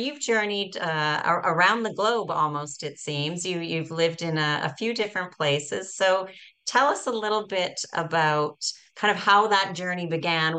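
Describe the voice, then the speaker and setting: A woman hosts a podcast interview in a fairly low voice with a bright, forceful edge. She reads a guest's introduction and then asks her questions, restarting a few words here and there. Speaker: podcast host. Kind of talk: interview hosting.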